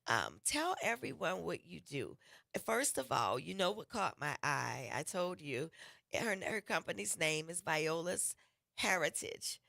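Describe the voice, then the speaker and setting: A woman hosting a radio cooking show, loud and laughing often, her voice a little gravelly from losing it. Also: fairly low pitch, sing-song, measured pace. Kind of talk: casual radio talk show chat.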